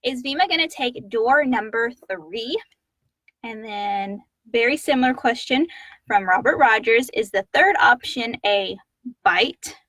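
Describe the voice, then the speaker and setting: A woman's voice: on the high side, lively intonation, a little slow, a bit bright, no room sound, poor audio. A woman co-hosting a casual podcast Q&A, talking conversationally. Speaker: podcast co-host. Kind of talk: casual live Q&A chat.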